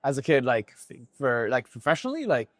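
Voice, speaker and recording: A man's voice: mid-range voice, big pitch swings, a little fast, no echo. A man hosting an interview in a casual chat, asking friendly, curious questions and prompting his guest. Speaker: interview host.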